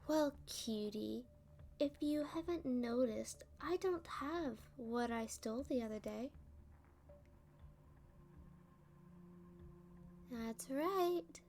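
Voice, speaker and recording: A woman playing a playful, smug female supervillain in an ASMR roleplay, teasing and taunting with drawn-out phrasing. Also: sits high, lively intonation, a little mellow, exaggerated voice.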